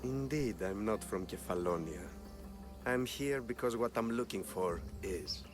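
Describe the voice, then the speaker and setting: An actor playing a calculating, sinister wealthy schemer in a video game, measured and condescending. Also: male voice, on the low side, lively intonation, music in the background. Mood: calm, calculating. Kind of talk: dramatic video game acting.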